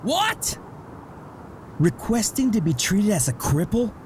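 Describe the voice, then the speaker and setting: A man's voice: high voice, huge pitch swings, slow, darkish tone, slight background noise, heavily acted. A man playing a blunt, nosy but caring father in a comic dub, probing and scolding. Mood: exasperated. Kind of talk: amateur comic dub voice acting.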